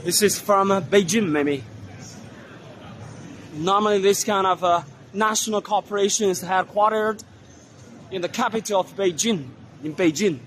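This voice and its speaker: A hoarse man walking through an indoor trade fair hall, giving casual commentary on the stands with many fillers and pauses. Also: sits high, fairly bright tone, slight background noise. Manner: unhurried, halting, many fillers. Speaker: vlogger touring a trade fair.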